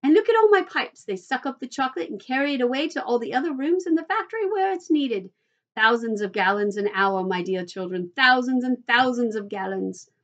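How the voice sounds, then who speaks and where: A woman's voice: medium pitch, steady tone, moderate pace, few pauses, pushed and bright, dull audio. A woman voicing an exuberant, boastful male factory owner from a children's storybook, repeating phrases for emphasis. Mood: excited, boastful.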